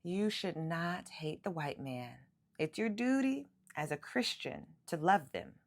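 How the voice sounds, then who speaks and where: A woman voicing a loving but firm mother in a children's storybook, speaking in an earnest, emphatic, unhurried way. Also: on the low side, expressive. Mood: firm, caring. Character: loving, firm mother giving moral advice.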